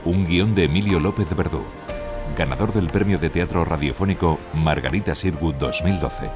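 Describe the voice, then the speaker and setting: A man's voice: deep voice, normal speed. A man reading scripted broadcast credits as a radio announcer. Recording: loud music under the voice.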